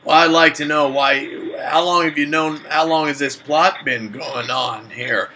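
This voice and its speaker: A man's voice: fairly high pitch, fairly even intonation, measured pace, background noise, close to an everyday voice. A man playing a suspicious seventy-six-year-old father who rambles indignantly in folksy phrases on a prank call. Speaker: prank caller.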